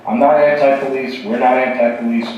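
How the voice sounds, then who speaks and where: An earnest man speaks emphatically at a forum, his mid-pitched voice picked up from far away. The hall rings with a heavy echo. Faint noise sits underneath. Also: fairly even intonation, fairly quick, dull audio. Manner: earnest, emphatic, some restarts. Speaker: state assembly candidate. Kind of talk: answering questions at a candidate forum. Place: campus event hall.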